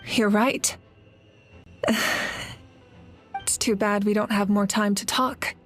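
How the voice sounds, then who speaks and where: A woman voicing a solemn, selfless elder-sister heroine in a video game, speaking gently with measured restraint. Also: mid-range voice, fairly even intonation, faint music behind. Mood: gentle, reassuring.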